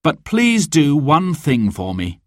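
Man playing a bold outlaw hero, raised, upbeat, very lively, dry sound. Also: on the high side, slow, dry recording, voice pushed up, full character voice.

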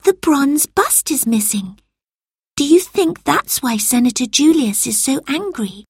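An earnest young girl from a children's storybook, voiced by a woman. She sounds concerned and speaks in a high, lifted pitch, in a dry recording. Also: animated, unhurried, no echo. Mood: curious.

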